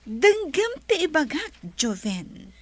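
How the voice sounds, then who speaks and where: A bossy wealthy madam in a radio drama, giving orders slowly and firmly. Her voice is very high and swings widely in pitch. It sounds dry and close to the mic. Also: very animated, dry recording, exaggerated voice. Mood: stern. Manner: commanding, slow and deliberate. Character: bossy wealthy woman, stern with staff. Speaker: wealthy lady of the house.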